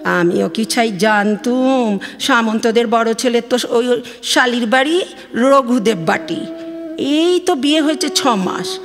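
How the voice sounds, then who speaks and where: A woman's voice: on the high side, a little slow. A woman playing an aggrieved, gossipy middle-aged village mother from West Bengal on the phone in an audio drama, chatty and complaining, with a Bengali accent. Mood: gossiping, scandalised. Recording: long studio reverb, music behind.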